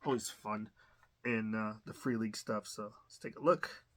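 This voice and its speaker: A man's voice: lowish voice, steady tone, moderate pace, fairly bright tone. A man giving a casual, rambling unboxing commentary on a tabletop game, full of fillers and restarts.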